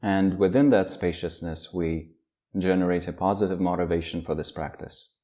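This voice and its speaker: A man leading a guided meditation, speaking slowly and calmly with long pauses between phrases. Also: low voice. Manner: slow, calm, with long pauses. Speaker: meditation teacher.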